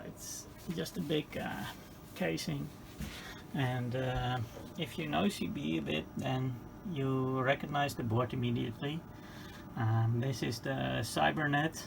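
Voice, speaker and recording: Slow man with a very gravelly, creaky voice. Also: on the high side. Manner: hesitant, halting, matter-of-fact. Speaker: hobbyist presenter of radio repair. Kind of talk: casual hands-on explanation. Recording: clear background noise.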